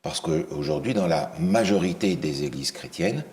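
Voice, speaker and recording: A man preaching a Bible study, asking the listeners questions and pausing on fillers and restarts. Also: deep voice, lively intonation, darkish tone. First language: not English. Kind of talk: interactive Bible teaching.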